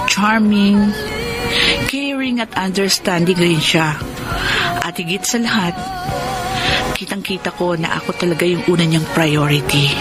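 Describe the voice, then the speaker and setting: An actress playing a grateful young daughter who is cautious in love, in a Filipino radio drama, speaking softly and sincerely with a Filipino accent. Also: female voice, lowish voice. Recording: radio drama with music underneath.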